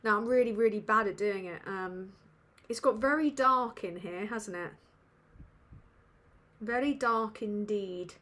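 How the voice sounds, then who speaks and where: A woman's voice: medium pitch, measured pace, fairly bright tone. A woman with a British English accent hosting a casual livestream Q&A from a dim room at home, rambling and sweary with lots of ums. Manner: rambling and sweary, with many fillers. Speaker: livestream host.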